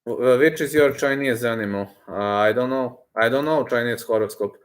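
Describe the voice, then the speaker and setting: A man in his thirties in casual unscripted livestream chat, halting and repeating himself as he thinks aloud while answering viewers. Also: medium pitch. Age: 30s.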